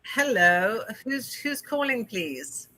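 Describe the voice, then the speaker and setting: South african lady hosting a call-in, bright voice, dry close sound, gushes a lot. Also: on the high side, very animated, takes it slowly, dry recording. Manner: chatty, effusive, with frequent fillers. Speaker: host. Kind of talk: call-in interview show.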